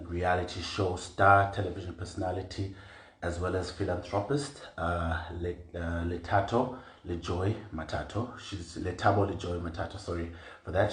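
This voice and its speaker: Male host, low-pitched, chatty, echoey room, video call. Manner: chatty, repeats short affirmations like "cool, cool". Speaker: magazine online editor and interview host. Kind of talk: casual live-stream interview.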